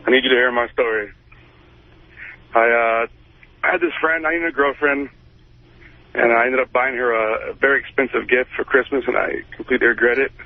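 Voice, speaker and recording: A man's voice: medium pitch, steady tone, a little fast, pauses often. A man calling a radio show, confessing casually and a little hesitantly. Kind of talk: casual confessional phone chat. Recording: thin, dry telephone line.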